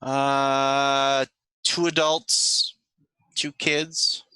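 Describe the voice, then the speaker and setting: A man running a tabletop role-playing game, improvising narration and haltingly thinking aloud as he goes. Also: mid-range voice, level tone, bright, forceful tone, smooth, dry recording. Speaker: game master.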